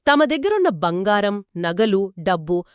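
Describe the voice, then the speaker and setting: A woman narrating a folk tale in a lively, expressive storytelling manner, trading lines with a co-host. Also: mid-range voice, a little slow, smooth, no room sound, clean studio sound. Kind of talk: scripted two-voice story narration.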